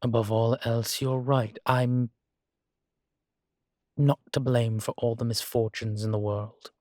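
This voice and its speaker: A man playing a guilt-ridden, gentle and wry ancient vampire in an intimate audio roleplay, speaking in a halting, reflective way. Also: medium pitch, level tone, no room sound, studio quality, close to an everyday voice. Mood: guilty, resigned. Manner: halting, reflective, with sighs and soft chuckles. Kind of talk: intimate one-sided audio roleplay acting.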